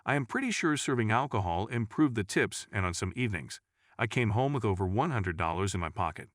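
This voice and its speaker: A man's voice: fairly low pitch, lively intonation, a little fast, no room sound, studio quality. A man reading a scripted first-person scary story as a narrator.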